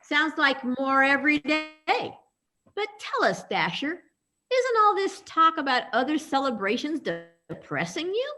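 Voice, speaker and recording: Actress as outspoken female friend, emphatic, unhurried, sharp bright tone, amateur radio theatre. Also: high-pitched, very animated, full character voice. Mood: chatty, curious. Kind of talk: amateur radio-play reading.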